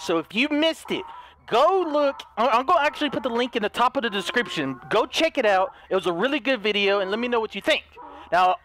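A man's voice: slight background noise, poor audio. A man hosting a casual gaming video who talks to his viewers and then chats with a teammate during play, his voice strained from recent overuse. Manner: energetic, upbeat intro, then low-key gameplay chat.

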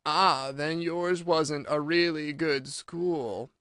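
A man voicing a melancholy old mock turtle in a storybook, sighing and self-pitying, with a slow, drawn-out, mournful delivery. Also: on the high side, fairly bright tone, clean tone, no echo, clean studio sound. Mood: wistful.

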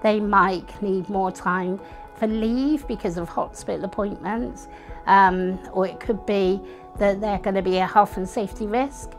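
A woman's voice: mid-range voice, a little slow. A middle-aged British woman giving an explanatory documentary interview. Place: bright indoor room with curtains. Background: music.

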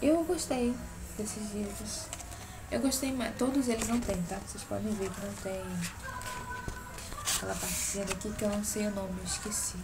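A woman's voice: mid-range voice, steady tone, normal speed, low-quality recording. A young woman from Brazil, nasal from a cold, chatting casually about her books on a webcam in her bedroom, often checking in with her viewers. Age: young adult. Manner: chatty, keeps adding "tá?" checks. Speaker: book blogger. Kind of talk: casual vlog book show-and-tell. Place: small bedroom at home. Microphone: webcam at close range. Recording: neighbours' music heard behind. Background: neighbours' music playing.

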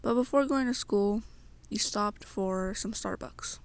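A young adult woman softly narrating a second-person ASMR story in short, halting phrases. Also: mid-range voice.

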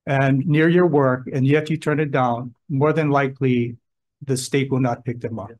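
A man's voice: mid-range voice, steady tone, normal speed, darkish tone. A man speaking as a state emergency official at a legislative hearing, explaining plans in a plain, conversational way. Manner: plain-spoken, conversational, gives examples. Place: hearing room.

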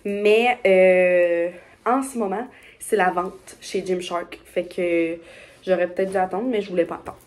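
A woman's voice: on the low side, steady tone, measured pace, darkish tone. A young woman with a Québécois French accent chatting casually in an upbeat, rambling way for her vlog, filmed on a phone held at arm's length. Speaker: vlogger. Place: bedroom and car.

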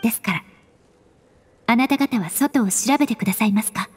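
A woman voicing a composed, polite young female investigator in an anime game, formal and analytical, with a soft breathy voice. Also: a little high, brisk, a little hiss or hum, lightly acted. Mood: composed, resolute.

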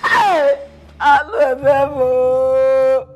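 A woman's voice: a little high, lively intonation, takes it slowly, faint music behind. An actress playing a grieving older mother-in-law, sobbing and crying out in mourning. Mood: wailing grief. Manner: wailing, sobbing laments.

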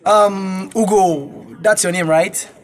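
A man's voice: sits high, fairly even intonation, slow pace. A man playing an easygoing young Nigerian peacemaker in an audio drama. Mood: placating. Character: easygoing young man smoothing over a quarrel.

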